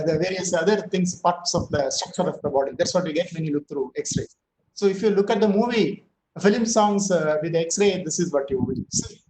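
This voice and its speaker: A man with a fairly high voice gives a physics lecture in a loose, joking way, stopping now and then with fillers before going on. He sounds like he is in an echoey room, with a faint hiss behind him. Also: a little mellow. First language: not Tamil. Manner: chatty, joking asides with filler pauses. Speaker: physics professor. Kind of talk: online lecture.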